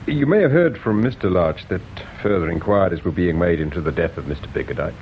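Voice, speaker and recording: An actor with an English accent playing a polite, patient, shrewd police inspector in a British radio drama, measured and courteous. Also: male voice, low-pitched, lively intonation. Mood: tactfully probing. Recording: dull radio drama recording with hiss.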